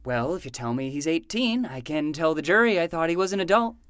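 A man's voice: fairly high pitch, expressive, a little fast, a bit bright, clean tone, no room sound. A man playing an exasperated, sarcastic librarian in a fiction podcast, ranting with dramatic disbelief. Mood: indignant, sarcastic. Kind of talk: scripted fiction podcast acting.

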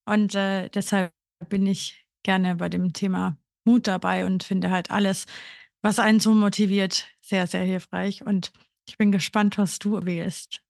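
Female co-host around thirty, medium pitch, dry recording, casual personal chat. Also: creaky, a little mellow, dry recording. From Burgenland, Austria. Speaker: podcast co-host. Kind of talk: casual personal conversational talk.

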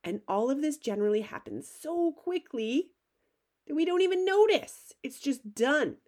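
A woman hosting a solo podcast as a life coach, upbeat and conversational, her voice a little gravelly from a cold. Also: high-pitched, animated, moderate pace, darkish tone. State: has a cold, slightly gravelly.

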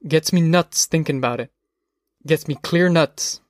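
A man's voice: a little high, a little slow, no echo, studio quality, close to an everyday voice. A man voicing a despairing migrant laborer and worried father, bitter and folksy, in an audiobook. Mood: anguished.

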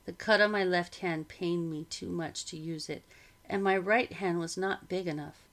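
A woman reading a novel aloud as a narrator, with a measured delivery. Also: on the low side, fairly even intonation, a bit bright. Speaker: audiobook reader. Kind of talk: scripted story narration.

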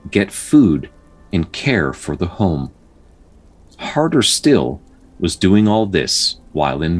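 A man hosting a storytelling show, narrating folktales in a measured, dramatic way with casual asides and a husky voice. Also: low voice. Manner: measured, pausing delivery when telling the tale.

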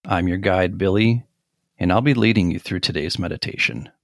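A middle-aged man leading a guided meditation with measured, gentle, instructional phrasing and pauses between phrases. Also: deep voice, vocal fry, heavy background noise.

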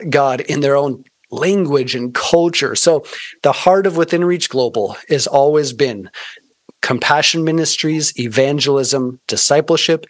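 A man's voice: medium pitch, dry recording. An American man speaking earnestly and articulately, telling stories in a conversational interview with touches of preaching. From United States (Arizona). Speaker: guest, missionary organization founder.

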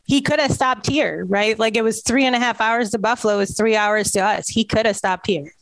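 A woman's voice: on the high side, brisk, a bit bright, no room sound, rough recording. A woman speaking in an outspoken, conversational way as an interview guest.

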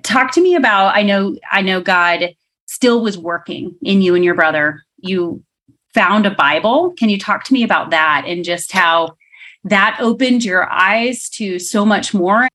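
Woman, host, ministry interview, encouraging questions, mid pitch. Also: measured pace, a bit bright, poor audio.

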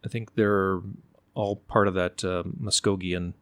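A middle-aged man from the United States, telling stories in an unhurried, thoughtful way and pausing to find his words. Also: low-pitched, level tone, fairly bright tone, clear, resonant tone, no room sound. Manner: unhurried, thoughtful, often pauses to search for words. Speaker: interview guest. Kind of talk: relaxed conversational storytelling.

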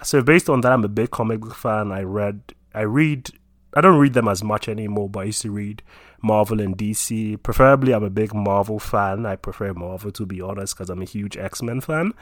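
A man's voice: lowish voice, no echo, studio quality. A young man from Nigeria in his twenties, chatting casually about himself with lots of fillers. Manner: relaxed, rambling with many fillers.

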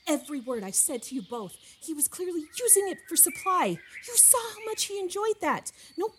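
A woman's voice: very high-pitched, expressive, fairly quick, fairly bright tone, slight background noise. An actress playing a stressed, stubborn and powerful woman in an audio drama, speaking with emotional, defensive emphasis. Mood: indignant, anguished. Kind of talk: fiction podcast drama acting.

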